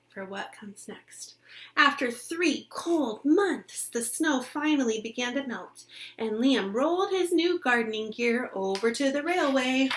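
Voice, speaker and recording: A woman reading a picture book aloud to young children like a teacher, expressively, pausing to explain simply and ask questions. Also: fairly high pitch, poor audio.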